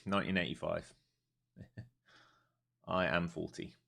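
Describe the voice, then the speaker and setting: A man's voice: low-pitched, slow, gravelly, fairly bright tone. A man, chatting casually and bluntly while trading live on stream. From Europe. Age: 40s. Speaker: livestream day trader. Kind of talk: live market commentary and chat.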